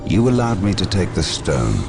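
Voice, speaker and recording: Cruel game warlord: bottomless low pitch, gravelly contempt, music behind. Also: male voice, big pitch swings, moderate pace. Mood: contemptuous, threatening. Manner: contemptuous, threatening. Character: scornful brutal chaos warlord villain, domineering and cruel. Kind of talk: video game cutscene acting.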